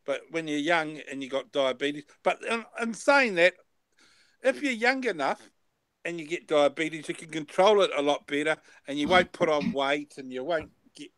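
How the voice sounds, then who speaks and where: A man's voice: a little high, fairly bright tone, clear, resonant tone, no echo, rough recording. A man in his fifties chatting casually as host, rambling and repeating his words, now and then swearing. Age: 50s. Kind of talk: casual chat.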